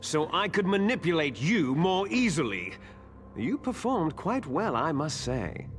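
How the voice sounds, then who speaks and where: An actor playing a bitter, taunting villain, the hero's twin soldier brother, in a theatrical, smug video game cutscene style. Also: male voice, a little high, very animated, slight background noise. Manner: theatrical, smug, drawn-out menacing delivery. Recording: loud game music behind the voice.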